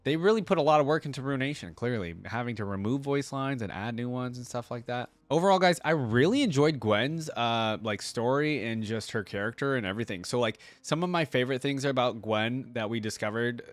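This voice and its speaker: A man hosts a reaction video in a casual, unscripted style. His voice sits at an average pitch. He rambles as he thinks aloud, checking in with viewers, and the sound is dry and close. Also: animated, a little fast, hardly any pauses, no room sound.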